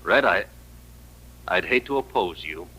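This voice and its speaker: A man's voice: deep voice, lively intonation, measured pace, sharp tone, breathy edge, full character voice, rough recording. An actor playing a nervous, deferential frontier townsman in an old radio western, anxious and urgent. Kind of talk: 1950s radio western acting. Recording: old radio recording with music.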